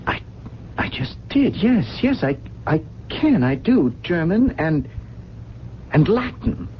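An actor playing a weary, gentle amnesiac in a radio drama, with formal, educated diction and a halting, pensive delivery. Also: male voice, a little high, very animated. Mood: dawning wonder. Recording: dull, noisy 1970s radio recording.

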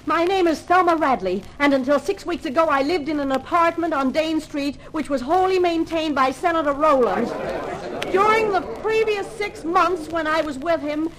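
An actress playing a crime boss's brazen mistress in an intense, theatrical 1950s radio melodrama style. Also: female voice, very high-pitched, a bit bright, rough recording. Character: high-voiced, brazen kept woman of a crime boss.

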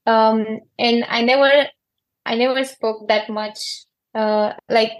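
High-voiced young Afghan woman, halting English. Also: fairly even intonation. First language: not English. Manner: halting, searching for words in learned English. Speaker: interview guest, cricketer. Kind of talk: informal interview answers.

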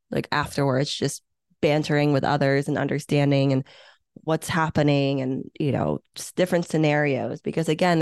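A woman's voice: deep voice, a little mellow, no room sound, clean studio sound. A woman from Hong Kong, an event planner, talking in an upbeat, reflective way with frequent filler phrases as a podcast guest. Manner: upbeat, reflective, many "you know" fillers. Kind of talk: casual interview talk.

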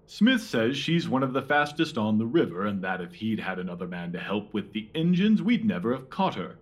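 An actor playing an officious, self-satisfied Victorian police detective in a mystery audio drama. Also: male voice, on the low side, very animated, normal speed, breathy edge. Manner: officious, pompous.

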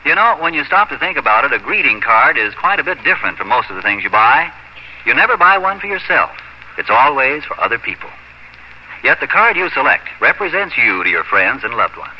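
Animated 1950s radio announcer, male. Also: medium pitch, fairly quick, a little hiss or hum. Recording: muffled old radio recording, music behind.

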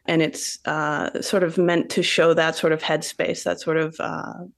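A woman's voice: sits low, flat delivery, a little slow, vocal fry. A woman, a writer speaking thoughtfully as a guest. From Canada. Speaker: poet and novelist guest. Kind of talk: thoughtful interview talk and poetry reading.

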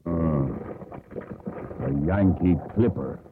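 An actor playing a gruff, proud older sea captain and father in a 1950s radio drama, on a tinny old broadcast. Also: low voice, extremely slow, noisy background. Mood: gruff, curious.

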